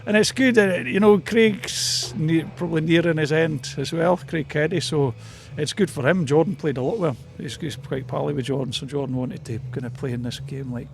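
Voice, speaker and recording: A man's voice: on the high side, normal speed, creaky, few pauses, background noise. A man with a Scottish Borders accent giving a casual, hesitant, rambling interview about his club.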